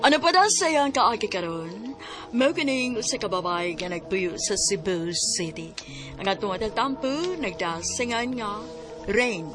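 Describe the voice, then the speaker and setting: A woman's voice: medium pitch, animated, unhurried. A woman with a Cebuano Filipino accent delivering a scripted radio drama introduction as announcer. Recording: music behind the voice.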